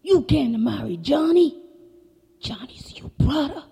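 A woman's voice: high-pitched, lively intonation, takes it slowly, vocal fry, mellow, in a large hall, pitched above the performer's own voice, heavily acted. A woman voicing a stern father who answers his daughter bluntly and scolds her. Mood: scolding. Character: gruff older father, a stern papa.

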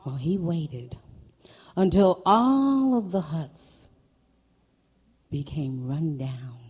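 A woman's voice: deep voice, expressive, drawn-out words, breathy edge, muffled recording. A woman telling folk tales to an audience, dramatic, dropping into whispers for effect. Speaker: storyteller. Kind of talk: folk tale storytelling performance.

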